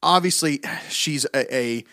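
A man co-hosting a casual music podcast, bluntly dismissive and halting, with frequent restarts. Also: fairly high pitch, bright, forceful tone, no echo, clean studio sound. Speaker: podcast co-host. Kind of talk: casual opinionated music chat.

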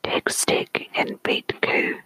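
A storyteller voicing a brisk, no-nonsense farm mother from a folk rhyme, in a whisper with a put-on Scottish accent. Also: sharp tone. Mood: brisk, commanding. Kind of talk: whispered folk tale reading.

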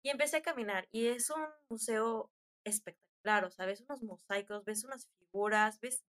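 A woman's voice: a little high, normal speed. A young adult woman with a Mexican accent telling stories casually, in a chatty, slangy way with acted-out bits of dialogue. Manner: chatty, informal, slangy, animated retelling with quoted dialogue. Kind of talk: casual storytelling chat.

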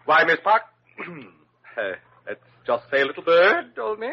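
An actor playing a pompous, easily flustered hospital administrator in a 1940s radio drama, prissy and fretful. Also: male voice, a little high, very animated, a little slow, husky, exaggerated voice. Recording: dull lo-fi old radio broadcast.

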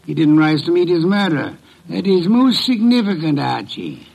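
An actor playing a pompous, fussy, irritable detective genius in a classic radio drama, speaking with formal, disdainful diction. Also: male voice, on the high side, sing-song, normal speed, gravelly, a little mellow, slightly rough, full character voice. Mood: imperious.

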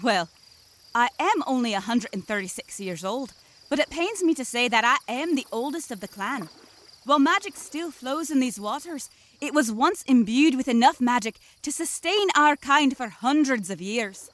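An actress playing a dignified, solemn selkie elder with formal, ornate speech in a fantasy audio drama. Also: female voice, sits high, animated, moderate pace, fairly bright tone.

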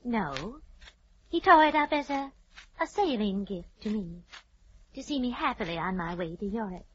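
A woman's voice: medium pitch, animated, normal speed, a bit bright, breathy edge. An actress playing a genteel, scheming middle-aged lady in an old radio drama, with a dramatic, commanding manner. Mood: guarded, cool. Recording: dull, lightly noisy vintage radio recording.

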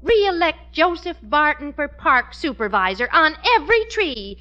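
A woman playing a sensible, exasperated American housewife in an old radio comedy, earnest and gently scolding. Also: sits high, very animated, unhurried, exaggerated voice. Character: sensible, exasperated working-class housewife and wife. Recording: muffled vintage radio recording.

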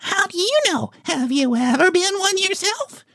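A man's voice: very high-pitched, lively intonation, unhurried, creaky, sharp tone, no room sound, clean studio sound, pitched above the performer's own voice, exaggerated voice. A man voicing a mischievous, playful, boastful monkey in a children's story, with a gleeful, teasing manner.

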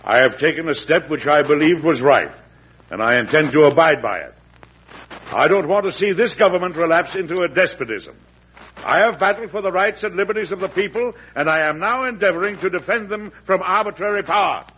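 An actor playing an embattled, indignant US president in an emphatic, oratorical 1940s radio drama style. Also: male voice, fairly high pitch, expressive, fairly quick, fairly bright tone, slight background noise. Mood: defiant. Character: embattled male US president, defiant, restless and indignant. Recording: muffled vintage radio recording.

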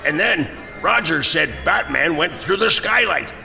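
A man's voice: on the high side, expressive, a little slow, creaky, pushed and bright, faint music behind, full character voice. A man playing an unimpressed, chatty police official in an audio drama, with long reverb. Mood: amazed, chatty.

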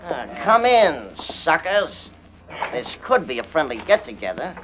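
An actor playing a smug young gangster, mocking and falsely friendly, in a 1940s radio crime drama. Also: male voice, fairly high pitch, very animated, measured pace. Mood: mockingly friendly. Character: smug, taunting young gangster with a cold streak. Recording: muffled vintage radio recording.

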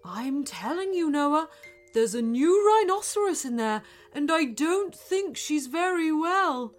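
Woman doing a hushed nervous giraffe. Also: high voice, big pitch swings, slow, soft background music, voice pushed up, exaggerated voice. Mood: worried hushed. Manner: hushed, confiding. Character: nervous gossipy male giraffe.

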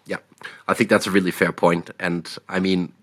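A man with a Danish accent co-hosting a podcast, speaking in a measured way with frequent pauses. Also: low voice, little pitch movement, a bit bright. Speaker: podcast co-host, analyst. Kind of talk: casual market discussion.

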